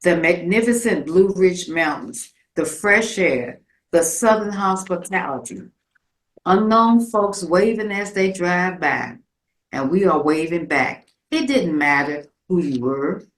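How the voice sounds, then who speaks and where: A woman recites a poetic monologue with lyrical, theatrical delivery. Her voice is slightly low and she moves slowly through each phrase, with the echo of a live room around her. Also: lively intonation. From rural Virginia, United States. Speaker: community performer reading own piece. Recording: roomy, echoing live space.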